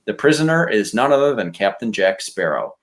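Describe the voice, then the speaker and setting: A man chatting informally as a podcast contestant pitching movie ideas. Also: big pitch swings, fairly quick. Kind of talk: casual banter and pitching.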